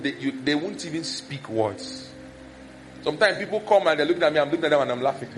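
A man preaching a conversational sermon that is full of personal stories. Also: sits high.

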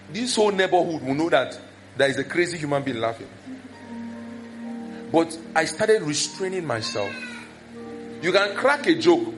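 High-voiced male preacher. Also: a bit bright, poor audio. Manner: conversational, telling personal stories. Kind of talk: sermon.

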